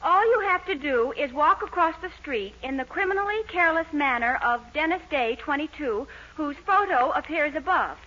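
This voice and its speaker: Very high-voiced sweet young sweetheart. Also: female voice, lively intonation, moderate pace, few pauses, pushed and bright. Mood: reading aloud. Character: sweet, patient young sweetheart of a dim fellow. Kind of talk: 1940s radio sitcom acting. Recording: noisy, muffled 1940s radio recording.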